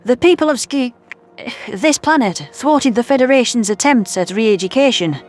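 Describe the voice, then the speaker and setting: A woman's voice: fairly high pitch, lively intonation, moderate pace, close to an everyday voice. A woman playing an earnest human scientist who explains things soberly in an audio drama. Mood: sober, sympathetic. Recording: music bed under voice.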